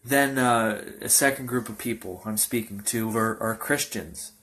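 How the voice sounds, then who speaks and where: A man in his early twenties, a pastor, telling his personal testimony in a halting, earnest way with many fillers and restarts. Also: fairly low pitch, fairly bright tone. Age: young adult. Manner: earnest, informal.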